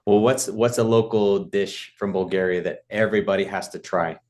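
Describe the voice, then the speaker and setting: A man's voice: on the low side, steady tone, moderate pace, a little mellow. A man hosting a casual interview, encouraging his guest with short affirmations. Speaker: podcast host.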